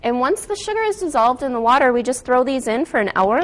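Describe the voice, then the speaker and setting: A woman's voice: high voice, big pitch swings, fast talker. An American woman chatting casually and enthusiastically as a guest food stylist, walking through a cooking demonstration in informal speech. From Wisconsin, United States.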